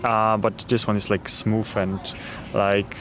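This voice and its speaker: A man's voice: lowish voice, level tone, slow pace, a bit bright. A man from Poland with a Polish accent, answering a street interview as a tourist and talking hesitantly with many fillers. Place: city street outdoors. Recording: street noise with traffic.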